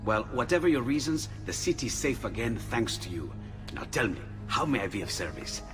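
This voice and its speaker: An actor playing a friendly, eager Renaissance inventor with an Italian accent in a video game cutscene. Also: male voice, mid-range voice, very animated, fairly quick, over music, natural voice. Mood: relieved, warm.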